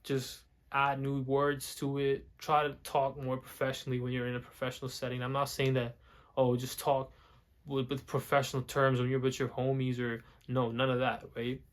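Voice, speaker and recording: A young man talks straight to the camera in a loose, rambling way. He stumbles, repeats words and restarts his sentences as he goes. English is not his first language, and his pitch sits in a middle range. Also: monotone, normal speed. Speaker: vlogger. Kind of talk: casual talk to camera.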